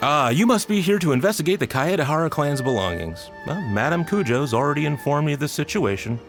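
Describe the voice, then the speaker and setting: A man's voice: on the high side, expressive, a little fast, faint music behind. A man playing a polite, deferential police warehouse attendant in a video game, eager to be of help. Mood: deferential, helpful. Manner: polite, apologetic, obliging.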